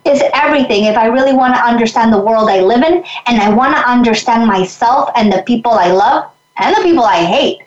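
A woman's voice: fairly high pitch, a little fast, few pauses, roomy sound, lo-fi sound. A woman from Mexico, a guest in an interview, speaking reflectively and earnestly, often restarting her sentences. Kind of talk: conversational interview.

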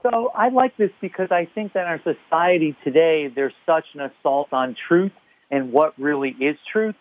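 A man's voice: a little high, moderate pace, no echo. A man, a panelist on a radio talk show, speaking earnestly with a light joking touch. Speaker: guest panelist. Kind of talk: radio interview. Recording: tinny phone line.

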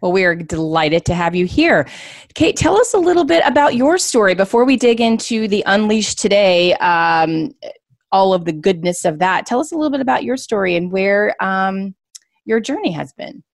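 A woman's voice: medium pitch, lively intonation, moderate pace, creaky, no echo, clean studio sound. A woman hosting a conversational interview, speaking in an effusive, affirming way. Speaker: show host.

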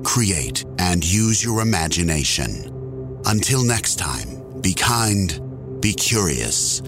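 A man hosting a show, reading a scripted sign-off in a slow, measured announcer style. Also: low-pitched, big pitch swings. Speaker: show host. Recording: music playing underneath.

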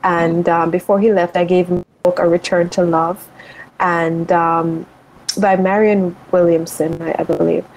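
Earnest motivational woman, lowish voice. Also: little pitch movement, measured pace, a little mellow, poor audio. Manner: earnest, motivational, thinks aloud with restarts. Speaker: guest, life and money coach. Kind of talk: reflective interview talk.

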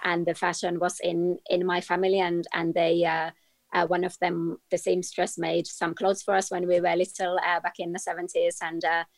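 Woman guest, low flat voice, dry sound, halting delivery. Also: no echo. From Finland. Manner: measured, many "uh" hesitations. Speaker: guest, trend forecaster. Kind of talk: casual interview.

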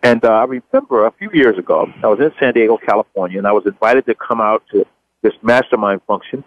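A man's voice: on the low side, lively intonation, fast talker. A man hosting a talk radio show, introducing a guest with enthusiastic, repetitive phrasing. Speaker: radio host. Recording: dull dry studio audio.

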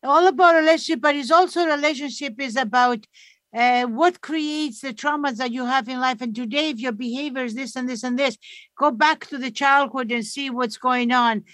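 A woman with a Greek accent talks earnestly as a therapist guest, often restarting her sentences. Her voice sits a bit high and the recording is dry and close, with no room sound. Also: moderate pace, fairly bright tone, no room sound. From Greece. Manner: earnest, restarts often, non-native grammar. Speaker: hypnotherapist and author, guest. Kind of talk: conversational interview.

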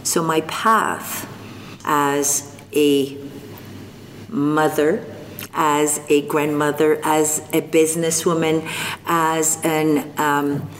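An older woman from North Africa, speaking as an interview guest, slow and thoughtful with frequent pauses. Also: deep voice, level tone. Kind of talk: reflective interview conversation. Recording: long reverb, faint background noise.

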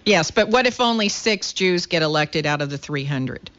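A woman's voice: low-pitched, animated, moderate pace, fairly bright tone. A woman hosting a radio talk show, introducing a guest and then pressing him with probing follow-up questions. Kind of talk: radio interview. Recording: slightly dull lo-fi radio sound.

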